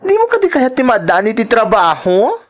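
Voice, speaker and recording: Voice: mid-range voice, huge pitch swings, normal speed. A performer with an Ilocano accent playing a sassy, bossy, evasive transgender woman in an audio drama, flamboyant and scolding. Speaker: voice actor in a radio-style drama.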